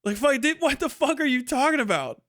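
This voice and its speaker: A man's voice: very high-pitched, very animated, a little fast, a bit bright. A man giving casual, profane live commentary in an exasperated, scornful manner with heavy swearing. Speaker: livestream host reacting to a debate.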